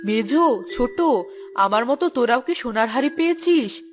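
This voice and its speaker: A woman's voice: a little high, expressive, moderate pace, faint music behind, natural voice. A woman voicing a boastful, greedy eldest village sister in a children's cartoon, exclaiming and bossy.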